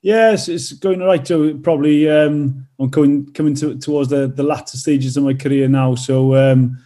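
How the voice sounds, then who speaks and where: A man's voice: mid-range voice, steady tone, moderate pace, dark tone. A Welsh man from North Wales in his thirties, a rugby player and teacher answering interview questions with many fillers and restarts.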